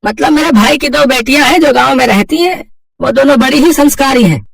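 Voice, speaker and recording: A man's voice: very high voice, brisk, gravelly, recorded from a distance, pitched above the performer's own voice. A man voicing a scheming, helpful friend in a moral story, coaxing and persuasive as he gives advice.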